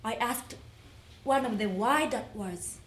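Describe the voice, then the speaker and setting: High female reciter with roomy echo. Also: slow pace, slight background noise. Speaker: readers theater performer. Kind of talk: dramatic recitation, acting out dialogue.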